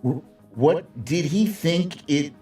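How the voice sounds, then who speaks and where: A man's voice: fairly high pitch, takes it slowly, warm tone. A man hosting a live political commentary stream in a casual, opinionated, sardonic conversational way, with salty slang. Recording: roomy echo, distant mic, music behind.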